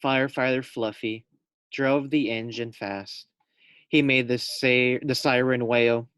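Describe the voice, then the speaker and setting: A man's voice: mid-range voice, level tone, takes it slowly, fairly bright tone, smooth, no echo. A man reading a children's storybook aloud as a teacher, expressively, putting questions directly to young listeners.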